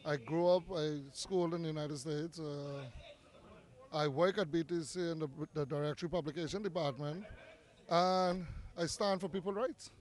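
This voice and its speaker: A man's voice: on the high side, fairly even intonation, moderate pace. A man from Grand Bahama with a Bahamian accent, answering interview questions as a community activist, earnest and persuasive, repeating words for emphasis, recorded outdoors in a park.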